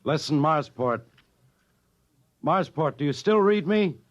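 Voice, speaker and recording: Strained spaceship captain, highish voice. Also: male voice, expressive, takes it slowly. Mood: urgent, pleading. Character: strained, earnest spaceship captain clinging to command. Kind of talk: old-time radio drama acting. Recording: dull vintage radio recording.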